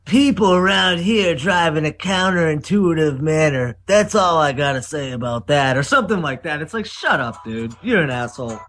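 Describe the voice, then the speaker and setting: A man's voice: on the high side, expressive, moderate pace, few pauses, fairly bright tone. A man co-hosting a podcast, chatting bluntly and profanely about movies. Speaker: podcast co-host. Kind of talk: casual chat about movies.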